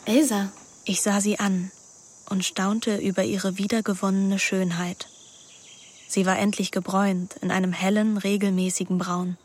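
A woman's voice: medium pitch, little pitch movement, normal speed, close to an everyday voice. A woman playing a brooding teenage girl, noisy background. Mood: brooding, introspective. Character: scheming, anguished teenage girl narrating her story. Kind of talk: radio drama with introspective first-person narration.

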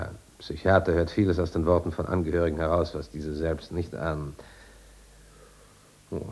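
Casting: patronising psychiatrist, deep voice, genial but probing, unhurried, 1950s radio drama. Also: male voice, darkish tone, husky. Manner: patronising, unhurried, murmuring little questions. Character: smugly genial, probing male asylum doctor. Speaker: medical officer and psychiatrist.

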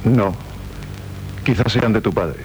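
An actor playing a humble, devoted lieutenant in theatrical radio drama, hesitant and deferential. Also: male voice, takes it slowly, faint music behind. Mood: gentle, deferential. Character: humble, devoted soldier, shyly lovestruck lieutenant. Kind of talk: theatrical 1970s Spanish radio drama acting. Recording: noisy, rumbling 1970s radio recording.

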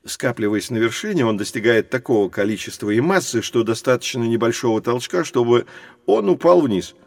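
An actor playing a patient teacher who explains things expressively to children in a scripted educational audio drama. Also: male voice, mid-range voice, fairly quick, vocal fry, no echo. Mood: explaining. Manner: didactic, expressive. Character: patient adult male teacher guiding curious children.

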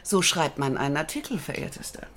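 A woman's voice: sits low, very animated, fairly quick, background noise. A woman playing a self-assured, teasing French society lady who mentors and commands, in a German radio play. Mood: playful, confiding.

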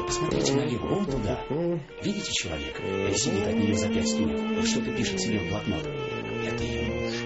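A man's voice: fairly low pitch, muffled recording. A man playing a chatty, ironic storyteller in a radio play, digressing playfully as he addresses the listeners. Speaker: narrator. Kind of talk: theatrical radio play narration.

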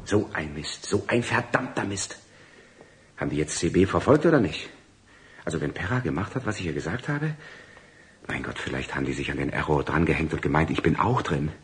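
An actor playing a disgruntled small-time crook in a radio drama, grumbling and cursing to himself. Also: male voice, a bass voice, sing-song, a little fast. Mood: worried, cursing. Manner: grumbling, cursing under his breath. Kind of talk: German radio drama acting, monologue.

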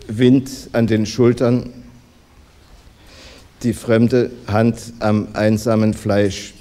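A man's voice: mid-range voice, dark tone. A man reading a poem aloud, line by line. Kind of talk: poetry reading.

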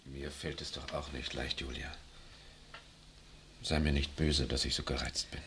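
A man's voice: extremely low pitch, level tone, unhurried, background noise. An actor playing an irritable, pessimistic, bookish husband and father in a 1960s radio drama, gloomy and curt. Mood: weary, gloomy.

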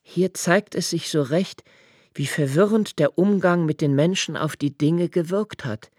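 Brooding, precise solitary lodger, dry close sound. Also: male voice, fairly high pitch, a little mellow, breathy edge, no echo. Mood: agitated, insistent. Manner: deliberate, precise, halting self-corrections. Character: brooding, obsessively observant solitary male lodger, musing alone. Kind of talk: literary radio play monologue in German.

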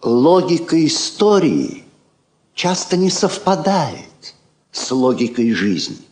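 Urbane old king, lively and highish. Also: takes it slowly. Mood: wry, amused. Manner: wry, philosophizing. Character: urbane, wryly ironic elderly king. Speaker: actor. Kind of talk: Soviet radio play acting.

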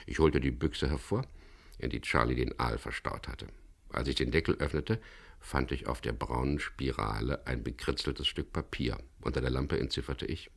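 A weary sea skipper with an extremely low, creaky voice. He tells his story drily and with measured irony, as in a 1970s crime radio play. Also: heavily acted. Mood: calm, reflective. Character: wry, weary British boat skipper narrating his adventure. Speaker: first-person narrator and lead character.

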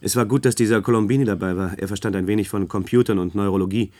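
A man's voice: fairly low pitch, fairly even intonation, quick pace, darkish tone, natural voice. An actor playing a discreet male conspirator in a German science-fiction radio play, explaining and placating. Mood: earnest, explaining.

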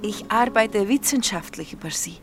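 An actress playing a blunt, playful young Slovak doctoral student with a Slovak accent in an audio drama. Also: female voice, medium pitch, animated, moderate pace, music in the background, close to an everyday voice. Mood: eager. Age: young adult. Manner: blunt, teasing, quirky non-native phrasing.

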